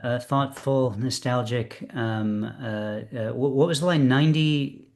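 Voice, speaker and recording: A man's voice: medium pitch, measured pace, gravelly, a little mellow. A man hosting an online poetry reading over a video call, chatting casually with many fillers and hesitations. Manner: hesitant, lots of fillers, friendly. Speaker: host of open poetry reading.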